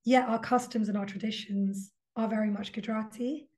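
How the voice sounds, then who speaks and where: A woman's voice: on the high side, steady tone, a little fast, a little mellow. Woman musing in conversation. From London. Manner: reflective, many ums and likes. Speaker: guest author. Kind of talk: casual interview chat.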